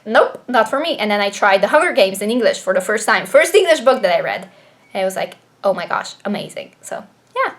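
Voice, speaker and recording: A woman's voice: on the high side, a bit bright. A young woman from Slovenia with a Slovenian accent, chatting to camera as a booktuber in a rambling, filler-heavy way. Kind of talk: casual chat to camera. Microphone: camera propped on desk.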